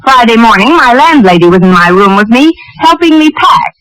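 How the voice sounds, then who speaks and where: An actress playing a sardonic, quick-witted English teacher, narrating and acting in a 1940s radio comedy. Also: female voice, on the high side, sing-song, a bit bright, dry recording, rough recording. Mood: wry. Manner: dry, sardonic, wisecracking. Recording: vintage 1940s radio recording.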